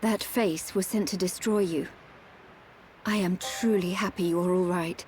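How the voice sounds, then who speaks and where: A woman playing a gentle, sorrowful member of a machine race in a video game, formal and measured. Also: on the low side, fairly even intonation, a little hiss or hum, close to an everyday voice. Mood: relieved, gentle. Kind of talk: video game cutscene acting.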